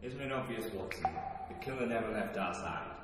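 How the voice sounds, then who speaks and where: Audio drama detective, male actor: knowing, superior, lowish voice, heavy echo over a noisy recording. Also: expressive, natural voice. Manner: condescending, deliberate. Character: cool, knowing, arrogant male detective confronting his nemesis. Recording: very echoey, distant, noisy hall.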